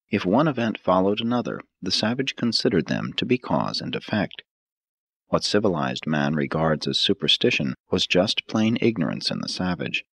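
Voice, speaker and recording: A man narrating an audiobook from a script in a measured, formal, solemn manner. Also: sits low, a bit bright, husky, no room sound.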